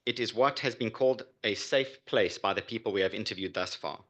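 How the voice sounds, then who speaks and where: A man delivering a prepared pitch as a startup founder. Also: brisk, a bit bright. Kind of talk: prepared business pitch presentation.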